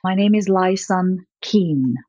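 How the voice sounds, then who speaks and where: Rambling woman, lowish voice. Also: expressive, takes it slowly, mellow, muffled recording. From Malaysia. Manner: rambling, with frequent fillers and light laughs. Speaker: gallerist, guest. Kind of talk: conversational interview.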